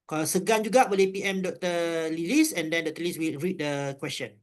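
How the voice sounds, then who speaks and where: A speaker with a Malaysian accent, talking quickly and animatedly in a video-call webinar and Q&A. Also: male voice, fairly high pitch. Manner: fast, animated, mixing in Malay phrases. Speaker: guest speaker, industrial psychologist. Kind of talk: webinar talk and Q&A.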